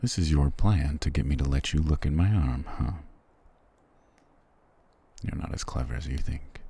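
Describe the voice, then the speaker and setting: A man playing a cruel, proud, weary soldier prince in an ASMR fantasy roleplay, curt and condescending, with a gravelly, husky voice. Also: extremely low pitch, moderate pace, mellow, full character voice. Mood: cold, scornful. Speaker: voice actor in audio roleplay.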